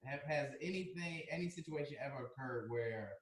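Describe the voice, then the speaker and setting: A man's voice: mid-range voice, brisk. A man in his twenties guesting on a casual podcast, agreeable and a little hesitant. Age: 20s. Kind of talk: casual group chat. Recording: roomy echo.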